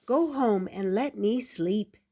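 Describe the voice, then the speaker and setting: A woman's voice: mid-range voice, slow, background noise. Grandmother reading as a sleepy, grumpy villager.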